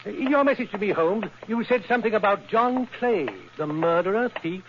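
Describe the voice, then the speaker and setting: An actor playing a stuffy, put-upon gentleman in a 1940s style radio drama, speaking hesitantly. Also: high-pitched, expressive, brisk, a crowd in the background. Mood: earnest. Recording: muffled, very hissy old radio recording.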